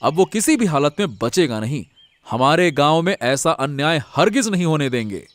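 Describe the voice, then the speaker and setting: A man's voice: on the high side, very animated, moderate pace, no room sound. A man voicing an earnest, emphatic village samosa seller, a wronged and devoted son, in a Hindi moral-story cartoon. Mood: indignant, determined.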